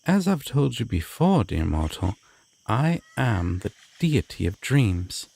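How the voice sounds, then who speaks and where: A man playing a courteous, formal deity of dreams in an audio roleplay, speaking to the listener with measured, theatrical pauses. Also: fairly low pitch, very animated, gravelly, a little mellow, slightly rough, heavily acted. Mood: composed, grand. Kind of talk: immersive roleplay addressed to the listener.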